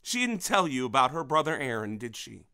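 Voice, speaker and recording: A man acting in an audio drama as a menacing, grieving middle-aged father protecting his daughter, speaking with halting intensity. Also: mid-range voice, very animated, sharp tone, clean tone. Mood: tense, recounting. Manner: intense, halting, with repeated words.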